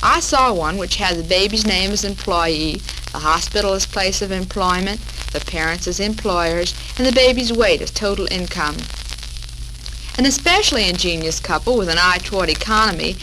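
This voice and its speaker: A woman's voice: mid-range voice, moderate pace. A young American woman hosting a scripted 1940s women's radio programme, chatty and witty with carefully phrased delivery. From United States. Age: young adult. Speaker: radio host. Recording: noisy vintage 1940s radio recording.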